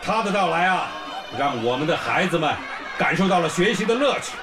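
An actor playing a village leader who gives a hearty, rallying speech at a feast. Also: male voice, high voice, lively intonation, fairly quick. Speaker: village leader raising a toast. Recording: festive crowd and music behind.

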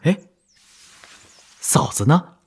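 An actor playing a loyal, anxious army officer in a radio drama, emotive and full of care for his comrade. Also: extremely slow. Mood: caring. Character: loyal army officer, anxious, warm younger brother-in-arms. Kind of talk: broadcast radio drama acting.

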